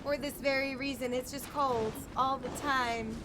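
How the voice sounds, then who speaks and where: A young woman streamer chats casually and playfully through her gameplay, often talking straight to the characters. Her voice is high, with loud game music behind it. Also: steady tone, measured pace. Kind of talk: casual live gameplay commentary. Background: video game music and combat sounds.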